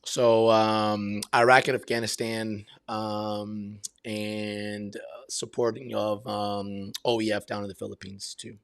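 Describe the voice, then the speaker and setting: Gravelly-edged man chatting in a close, dry recording. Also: lowish voice, level tone, slow pace, few pauses, no room sound. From Brazil and Miami, United States. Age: 50s. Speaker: podcast guest, military veteran and realtor. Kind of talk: casual conversational interview answers.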